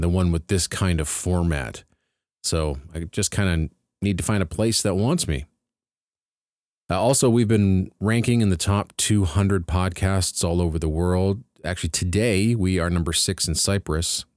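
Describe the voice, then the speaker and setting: A man with a low, deep voice hosts a film-music podcast in an easygoing but enthusiastic way, stressing the words he cares about. The sound is close and dry, with no room echo. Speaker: podcast host. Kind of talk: scripted radio-style presentation.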